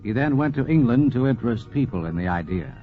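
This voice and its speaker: Animated 1940s radio announcer, a man. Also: mid-range voice, quick pace. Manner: dramatic, formal announcer delivery. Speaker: radio host and narrator. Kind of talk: scripted 1940s radio narration. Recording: muffled vintage 1940s radio recording. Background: music.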